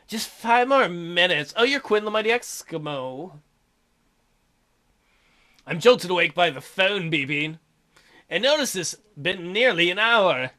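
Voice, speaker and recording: A man's voice: high voice, animated, unhurried, long pauses, pushed and bright. A man doing a let's play of a visual novel, reading it out and throwing in irreverent, crude wisecracks. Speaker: let's player.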